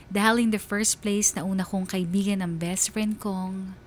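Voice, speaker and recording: An actress playing a wistful young woman who narrates a confession in a measured, confiding radio drama style. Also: medium pitch, fairly even intonation, slight background noise, lightly acted. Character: wistful young woman confessing secret love for best friend's girlfriend. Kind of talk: first-person radio drama letter narration.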